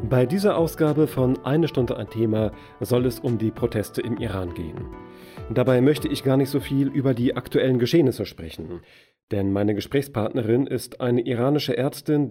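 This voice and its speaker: A man hosting a radio interview and asking measured questions. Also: mid-range voice, warm tone.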